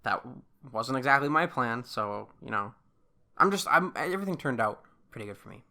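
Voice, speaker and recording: Casting: man, early twenties, podcast co-host. Bright, forward voice, mid pitch, rambling conversational delivery. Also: expressive, moderate pace, vocal fry. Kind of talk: casual rambling chat.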